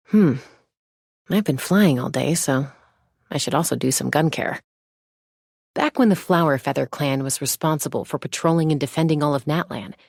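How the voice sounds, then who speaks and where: A woman voicing a confident young female gunslinger and peacemaker in a video game, with a cool, measured, self-assured delivery. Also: deep voice, a little mellow, no echo, studio quality. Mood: relaxed, friendly, then proud, assured. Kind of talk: scripted game character voice lines.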